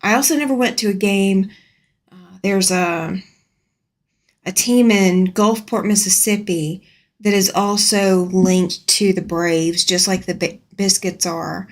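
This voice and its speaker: A woman's voice: mid-range voice, steady tone, slow pace. A woman from Alabama, chatting casually on a live stream from her home sewing room as she rambles and answers viewers' comments aloud.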